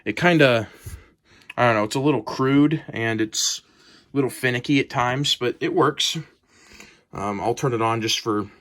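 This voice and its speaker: A man's voice: mid-range voice, fairly bright tone. A man giving a casual, unscripted show-and-tell as a hobbyist collector, in a hesitant, rambling, plain-spoken way. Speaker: hobbyist collector presenting weather radios.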